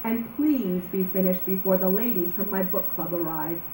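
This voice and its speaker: A caring storybook mother, played by a woman reader gives gentle parental instructions. Her voice is set in an echoey room with faint noise. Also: lowish voice, moderate pace, natural voice. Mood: coaxing. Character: caring, gently directing mother of small boy. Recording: roomy echo, background noise.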